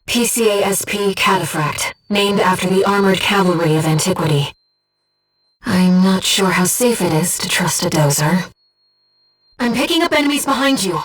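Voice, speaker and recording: A woman voicing a disembodied female guide who speaks in a pilot's head as mission operator in a video game, with a soft, breathy voice and a precise, earnest delivery. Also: medium pitch, moderate pace, distant mic, natural voice, low-quality recording. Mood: urgent, alert. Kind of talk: scripted video game voice lines, mission briefings and battle callouts.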